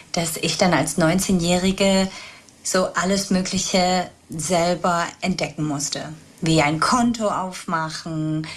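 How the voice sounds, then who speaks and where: A woman from Spain, a trauma and mindset coach, telling her story as a guest in an earnest, reflective way. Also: on the low side. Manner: earnest, reflective, speaks in long flowing stretches. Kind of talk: podcast interview, personal storytelling.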